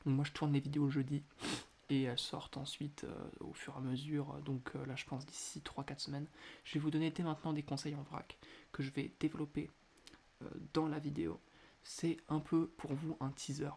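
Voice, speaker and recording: Man with a stuffy nose, gravelly, slightly high voice, quiet hesitant podcast chat, faint hiss. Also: flat delivery, brisk. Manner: hesitant, many filler sounds, speaks quietly. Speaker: podcast host. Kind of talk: casual solo podcast advice. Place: indoors at home at night.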